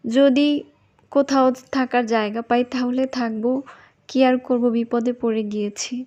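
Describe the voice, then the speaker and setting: A woman acts out a sweet-talking young cartoon heroine with a sly streak. The voice is high and coy, and the recording is a little dull. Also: steady tone, normal speed, a little mellow, lightly acted. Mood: helpless pleading. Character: sweet-talking scheming young petni disguised as human woman. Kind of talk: cartoon voice acting.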